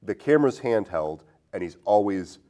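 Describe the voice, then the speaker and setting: Guy w/ lowish voice hosting a film podcast, casual chat, kinda inquisitive. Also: animated, normal speed, warm tone. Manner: inquisitive, posing questions to his co-host. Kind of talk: casual conversational film discussion.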